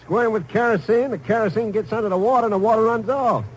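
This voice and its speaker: An actor playing a folksy, confident Texas garage mechanic in a plainspoken, instructive way, in 1950s radio drama style. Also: male voice, high voice, quick pace, natural voice. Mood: brisk, assured. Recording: noisy old 1950s radio recording.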